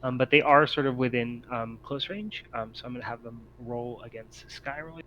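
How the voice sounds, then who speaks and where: A man acting as game master, improvising narration and talking through rules in a hesitant, deliberate way. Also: mid-range voice, bright, forceful tone, lo-fi sound. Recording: clear background noise.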